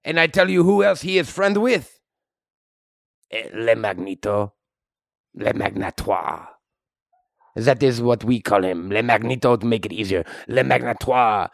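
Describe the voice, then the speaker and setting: Man acting as French Canadian superhero, high voice, creaky, dry close sound, broken English, easygoing, rambling, improvised comedy. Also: lively intonation, normal speed, no room sound. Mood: chatty, earnest. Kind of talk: improvised comedy podcast in character.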